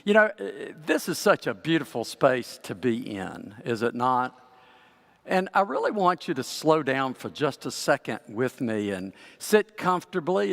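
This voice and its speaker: An older male pastor with a raspy, hoarse voice, giving a conversational sermon that gently guides the congregation, recorded on a headset mic in a large church sanctuary. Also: mid-range voice. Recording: long church reverb.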